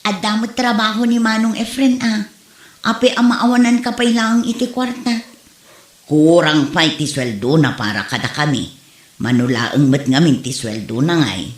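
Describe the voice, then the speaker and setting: A woman's voice: fairly low pitch, moderate pace, echoey room, natural voice. A woman acting a nagging, complaining wife who is short of money in an Ilocano comedy drama.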